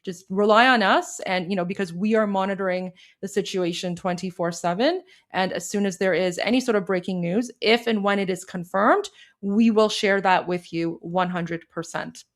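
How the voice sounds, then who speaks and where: A woman's voice: medium pitch, normal speed. A woman hosting a live stream with unscripted news commentary, emphatic and repeating phrases for stress.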